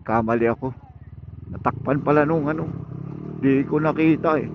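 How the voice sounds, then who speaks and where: A man's voice: fairly high pitch, normal speed. A man chats casually and slangily to his viewers as a motovlogger, talking while he rides. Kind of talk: casual vlog chat while riding. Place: outdoors on a motorcycle at night. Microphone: intercom microphone inside a helmet. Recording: muffled helmet intercom mic, wind and engine noise. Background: vehicle and wind noise.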